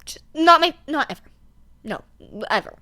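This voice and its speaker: Excitable young woman with a high-pitched voice. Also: moderate pace. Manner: excitable, slangy, swearing, emphatic. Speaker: podfic reader. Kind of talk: casual rambling chat to listeners.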